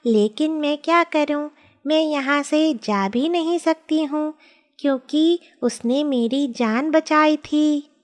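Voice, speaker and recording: A woman voicing a gentle, grateful magical hen in a children's cartoon story, speaking earnestly. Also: high-pitched, slow. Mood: sad, resigned.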